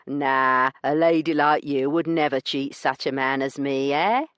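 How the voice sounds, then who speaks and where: Woman putting on a sneering lowlife crook. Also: measured pace, fairly bright tone, smooth, no echo. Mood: insolent. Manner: drawling, insolent, lower-class speech. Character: skinny smirking male dockside crook.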